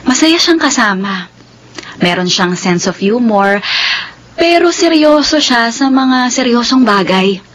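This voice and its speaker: A woman playing a gentle young city woman who longs for a simple life, in a Filipino radio drama. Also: fairly high pitch, lively intonation, a little slow, slight background noise. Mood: earnest.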